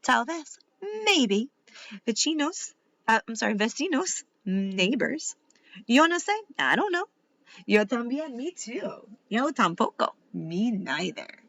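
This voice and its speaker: A woman's voice: a little high, sing-song, takes it slowly, a bit bright, muffled recording. A woman giving a read-aloud lesson for children as a teacher, instructive and asking questions.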